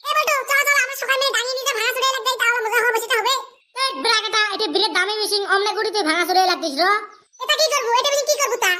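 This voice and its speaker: A tiny, very high cartoon voice chatters quickly in a rural northern Bengali dialect. The excitable village fellow bickers and repeats himself in a squeaky, chipmunk-like tone. The home-made track is clearly noisy. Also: male voice. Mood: excited, argumentative. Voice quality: squeaky, pitch-shifted. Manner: exclaiming, bickering, repeating phrases. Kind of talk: comedy cartoon dub.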